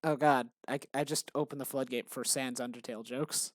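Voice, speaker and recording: A man making a game who rambles in casual commentary while he works, in a tired, monotonous, deadpan voice. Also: fairly quick, no room sound, clean studio sound. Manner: tired, low-energy. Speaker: game developer vlogger.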